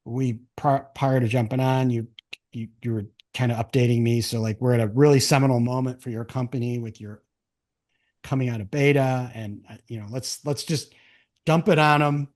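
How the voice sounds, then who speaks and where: Male interview host, mid-range voice, informal and conversational, somewhat halting phrasing. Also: mid-range voice, fairly even intonation, darkish tone.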